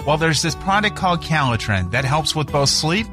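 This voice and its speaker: Upbeat lively commercial announcer man. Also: on the high side, normal speed, music in the background. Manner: upbeat and persuasive. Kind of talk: commercial voice-over.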